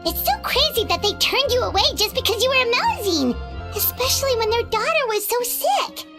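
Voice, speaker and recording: An extremely high, squeaky little fairy girl bubbles along in a swooping, gushing voice. She is cute and excitable, a video game sidekick, with loud music playing underneath. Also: fairly quick, exaggerated voice. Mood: indignant. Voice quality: squeaky, childlike. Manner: speaks of self in third person, gushing. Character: tiny floating fairy companion, female, childlike, excitable, cute. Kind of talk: anime-style video game voice acting. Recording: loud music bed behind voice.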